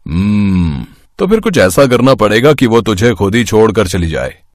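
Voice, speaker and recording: A man's voice: on the low side, sing-song, moderate pace, no room sound, clean studio sound. A man voicing a teasing, mischievous village friend in a cartoon, brash and mocking. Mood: scheming.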